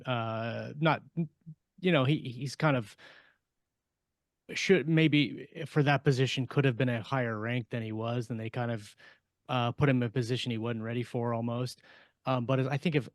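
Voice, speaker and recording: A man co-hosting a podcast in a casual, conversational way. His voice sits at an ordinary middle pitch, and the sound is close and dry, with almost no room on it. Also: no room sound. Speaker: podcast co-host. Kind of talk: casual panel discussion.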